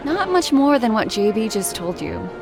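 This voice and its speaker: A woman's voice: on the high side, big pitch swings, normal speed, music in the background. A woman voicing a playful, knowing psychology student and history buff in a video game, with a wry, teasing manner. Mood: wry, informative. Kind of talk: video game character dialogue.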